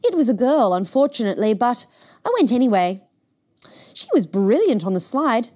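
A woman's voice: fairly high pitch, sing-song, normal speed, a little mellow. A woman voicing a timid, polite, lonely young boy in a picture book, wistful and earnest. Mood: shyly delighted. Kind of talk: picture book read-aloud.

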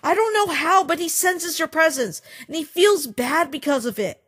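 A woman's voice: very high voice, animated, normal speed, a bit bright, big-room sound. A woman voicing an earnest, troubled school-age child in a comic dub, pleading and emotional. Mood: pleading, upset.

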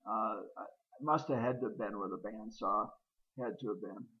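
A middle-aged man with a lowish voice talks his way through an old barn, pausing often with ums and uhs as he points things out. The sound is dull and lo-fi, straight from a handheld camera's built-in mic, outdoors and inside the barn. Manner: rambling, hesitant, many ums and uhs. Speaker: homesteader filming his barn. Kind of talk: casual narrated walkthrough.

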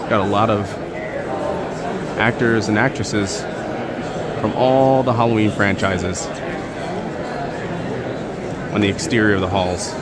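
A man's voice: lowish voice, fairly even intonation, takes it slowly, long pauses, a loud crowd. A man giving casual vlog commentary in short, admiring remarks as he shows a fan convention. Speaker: vlogger showing a fan convention. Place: large indoor exhibition hall. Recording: very noisy convention hall floor.

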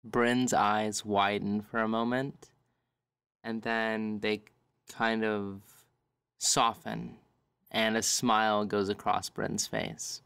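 Guy narrating his rpg character, smooth clean voice, very slow and deliberate, dry recording. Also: lowish voice, little pitch movement, fairly bright tone, no echo. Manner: deliberate, short phrases. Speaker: player in a tabletop role-playing game. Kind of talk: narrating a character's thoughts and reactions.

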